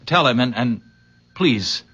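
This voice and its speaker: An actor playing an earnest, awestruck young visitor with a hesitant, stammering delivery, in a radio drama on a dull old recording. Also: male voice, mid-range voice, animated, takes it slowly, very noisy. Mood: hesitant, pleading. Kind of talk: 1970s radio drama acting.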